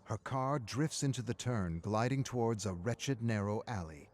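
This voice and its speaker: A man's voice: fairly low pitch, normal speed, darkish tone. A man reading a scripted story as a dramatic narrator.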